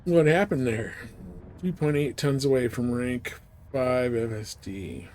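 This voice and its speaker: A man's voice: mid-range voice, measured pace, darkish tone, slight background noise. A man streaming a space game, giving casual gameplay commentary with game sound behind him. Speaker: live streamer playing a space flight game.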